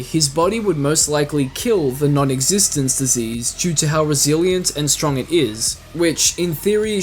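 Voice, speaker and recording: A man's voice: fairly high pitch, slight background noise. A man narrating a scripted fan video essay in an explanatory way with casual asides. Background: music.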